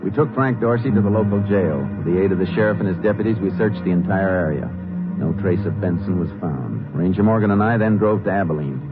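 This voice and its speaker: An actor playing a calm Texas Ranger investigator who narrates and questions tersely in 1950s radio drama style. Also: male voice, low-pitched, fast, loud music behind the voice, lightly acted. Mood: matter-of-fact. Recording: muffled old radio broadcast.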